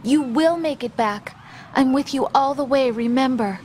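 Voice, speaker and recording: Lady playing a worried young girlfriend in a game, highish tender voice, slight noise behind. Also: normal speed, a little mellow, lightly acted. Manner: tender, earnest. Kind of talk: video game cutscene dialogue.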